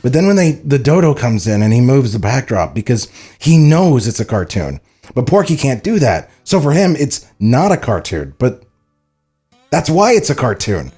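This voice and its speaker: Lively male co-host chatting over music. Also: mid-range voice, normal speed, breathy edge. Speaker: podcast co-host. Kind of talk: casual commentary during a table read. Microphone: podcast studio microphone.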